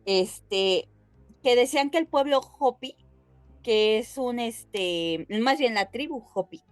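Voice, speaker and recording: A woman's voice: fairly high pitch, lively intonation, takes it slowly, no room sound, faint music behind. A woman co-hosting a podcast, explaining things casually and addressing listeners directly. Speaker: podcast co-host.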